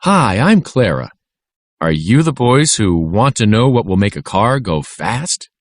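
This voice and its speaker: A man's voice: fairly low pitch, sing-song, measured pace, dry recording, clean studio sound. A man voicing a cheerful, eager woman scientist in a children's storybook, bubbly and explanatory. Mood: enthusiastic.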